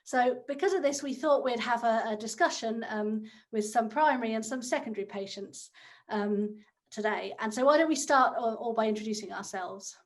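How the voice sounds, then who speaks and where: A woman in her thirties from England with a fairly high voice, opening and steering a friendly round table chat. She speaks in a measured way with occasional fillers. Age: 30s. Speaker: discussion host.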